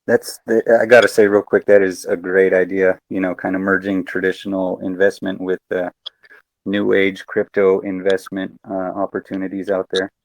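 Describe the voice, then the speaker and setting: A man's voice: low voice, monotone, normal speed, dry recording. A man hosting an online Q&A, welcoming the guests and adding short encouraging remarks. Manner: brief encouraging interjections. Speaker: AMA host.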